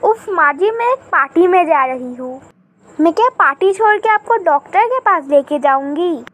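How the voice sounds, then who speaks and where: A woman voicing a young Indian daughter-in-law in a scripted animated moral story, politely addressed but stubborn and outspoken. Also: very high voice, normal speed. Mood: dismissive. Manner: polite address, firm talking back.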